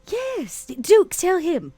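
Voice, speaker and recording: A woman playing a tabletop roleplaying game, casual and halting, often questioning as she talks in character. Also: extremely high pitch, wildly swooping pitch, warm tone, dry recording.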